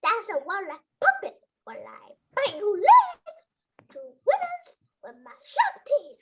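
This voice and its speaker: A woman's voice: very high voice, wildly swooping pitch, heavy background noise, heavily acted. A woman playing a spirited, cheeky animal companion in a theatrical folktale reading. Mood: taunting, fierce. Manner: slow, theatrical, exclamatory delivery.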